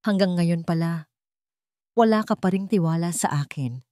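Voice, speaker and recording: A woman's voice: lowish voice, moderate pace, dark tone, no echo, studio quality, close to an everyday voice. A Filipino woman voicing a wounded, coldly disappointed wife in an audio drama, trembling but resolute. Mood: bitter disappointment.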